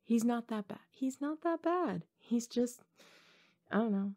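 A woman's voice: fairly high pitch, expressive, a little slow, warm tone. A woman playing a playful, teasing college-age tomboy gamer girl in an intimate ASMR roleplay, talking casually in slang with hesitations. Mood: teasing, curious.

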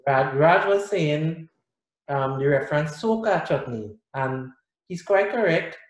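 A man's voice: on the high side, a little slow. A middle-aged man with a Trinidadian accent, talking casually and animatedly as a guest on a video call. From Trinidad and Tobago. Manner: animated, gestures with hands while explaining. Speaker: guest musician. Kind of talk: casual interview talk. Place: small room indoors. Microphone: video call webcam. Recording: echoey room on video call.

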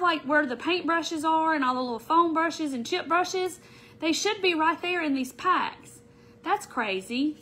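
A woman's voice: high-pitched, pushed and bright. A middle-aged woman hosting a casual craft livestream from an indoor craft room, sounding nasal from a cold and greeting viewers by name. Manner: chatty, greets viewers by name as she goes. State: stuffy nose from a summer cold. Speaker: craft show host. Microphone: camera on the table in front of her.

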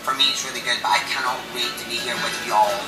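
A man on a cruise ship's staff, answering interview questions with high energy and enthusiasm. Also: flat delivery, fast talker. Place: aboard a cruise ship. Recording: echoey, distant, music underneath.

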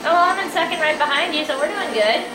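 A woman's voice: high voice, animated, fast. A young woman chatting casually while playing a kart racing game with a friend, talkative and a little self-deprecating. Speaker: let's play host. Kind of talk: casual gameplay chat. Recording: echoey room with game music.

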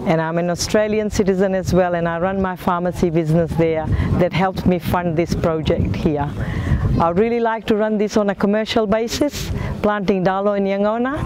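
A woman in her fifties from Fiji, a farm owner speaking earnestly to camera outdoors on a lapel mic. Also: on the low side. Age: 50s. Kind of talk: interview to camera.

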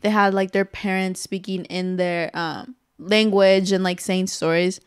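A young woman co-hosting a podcast from a hotel room, rambling with lots of "like" and trailing off softly, sitting back from a shared mic. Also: medium pitch, steady tone, measured pace, smooth. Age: young adult. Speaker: podcast co-host. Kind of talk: casual chat. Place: hotel room, seated on bed.

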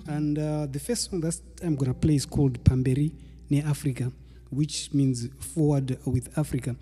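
Calm guy introducing his set, dark mellow husky voice, stand mic in a small room. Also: a little high, moderate pace, in a large hall, soft background music. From Zimbabwe. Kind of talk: spoken introduction to a live performance. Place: small indoor studio room with patterned wall hanging. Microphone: microphone on a stand in front of him.

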